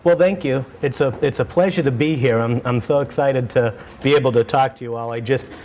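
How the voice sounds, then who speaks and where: A middle-aged man with a Boston accent, giving a keynote talk to students in an animated way, often repeating words and restarting sentences. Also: mid-range voice, fairly quick, slight background noise. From Boston, United States. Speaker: keynote speaker. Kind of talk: energetic lecture to students. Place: auditorium.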